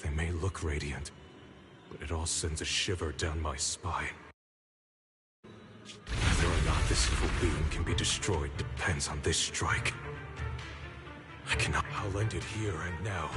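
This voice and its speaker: A man's voice: deep voice, a little slow. A man playing an intense, protective young warrior in a video game, grim and defiant in his delivery. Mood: grim, wary. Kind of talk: dramatic video game voice acting. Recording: loud game music behind. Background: music.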